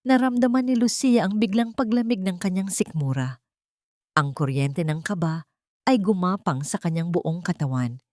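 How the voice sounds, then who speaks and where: A woman's voice: lowish voice, expressive, moderate pace, darkish tone, dry recording, studio quality. A woman reading a scripted dramatic story as an audiobook narrator.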